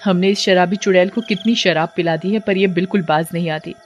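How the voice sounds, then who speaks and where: A woman's voice: lowish voice, steady tone, fairly quick, dull audio, soft background music, natural voice. A woman voicing a farmer's dutiful, agreeable wife in a cartoon story, speaking politely and earnestly. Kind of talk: cartoon moral story acting.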